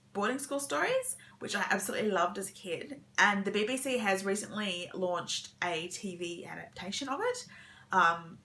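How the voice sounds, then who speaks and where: Woman book vlogger, young adult, medium-pitched and bright, chatty and meandering delivery. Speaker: book vlogger sharing weekend reading plans. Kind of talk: casual rambling chat to camera.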